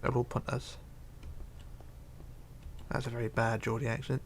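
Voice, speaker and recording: A man hosting a casual livestream, reading chat aloud and chatting in a rambling, halting way. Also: mid-range voice, flat delivery, a little hiss or hum. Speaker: Minecraft livestreamer.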